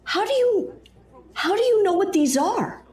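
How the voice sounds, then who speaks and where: A woman playing a wary, sharp-tongued space investigator in an audio drama, with a skeptical, plain-spoken manner. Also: very high-pitched, expressive, unhurried. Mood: puzzled.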